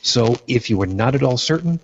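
A man's voice: mid-range voice, lively intonation, fairly quick, slight background noise. A man from New York hosting a casual roundtable, talking bluntly and swearing freely over a video call. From New York, United States. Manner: blunt and profane. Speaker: podcast host. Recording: dull lo-fi sound.

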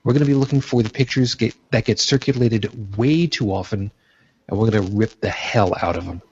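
Blunt, husky-voiced man hosting a lo-fi call. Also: on the low side, brisk, darkish tone. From New York, United States. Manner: blunt and profane. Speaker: podcast host. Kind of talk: casual roundtable talk. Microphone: video call. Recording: dull lo-fi sound.

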